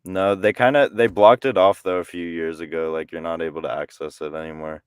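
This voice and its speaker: A man's voice: deep voice, fairly even intonation, brisk, clean tone, dry recording, studio quality. A man, a guest musician, chatting casually on a livestream, rambling with lots of fillers. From born in Brighton. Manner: rambling, lots of "like", laughs easily.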